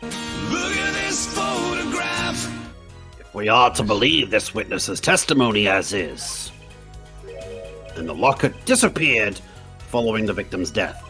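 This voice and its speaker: A man voicing a solemn, slow-witted elderly judge with a pompous, declamatory manner in a live fan dub of a courtroom game. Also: lowish voice, wildly swooping pitch, pushed and bright, faint music behind, full character voice. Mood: astonished.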